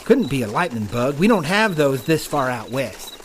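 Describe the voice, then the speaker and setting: An actor playing a timid, bashful cowboy with a hesitant drawl in a western audio drama. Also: male voice, mid-range voice, very animated, normal speed, slight background noise, natural voice. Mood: uncertain.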